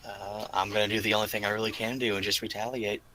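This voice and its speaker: A man's voice: lowish voice, level tone, quick pace, bright, forceful tone, low-quality recording. A man playing a tabletop roleplaying game, chatting casually at the game as he works through moves and rules.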